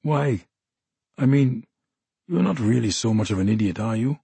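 A man's voice: medium pitch, lively intonation, moderate pace, gravelly, warm tone, slightly rough, no room sound, full character voice. A man voicing an embittered, drunk one-handed soldier who talks in a formal, officious way and growls. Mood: mocking. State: drunk and unsteady.

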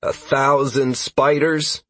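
A man voicing an excitable, reckless and cheerful male adventurer with eager energy in an audiobook. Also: mid-range voice, slow, no room sound, voice pushed up. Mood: excited.

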